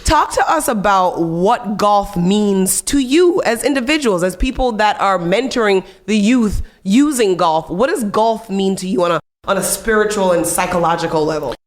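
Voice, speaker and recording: A woman's voice: medium pitch, expressive, moderate pace, hardly any pauses, echoey room. A woman hosting a radio morning show, chatting casually with guests and using lots of fillers. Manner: chatty, encouraging, many fillers. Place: radio studio.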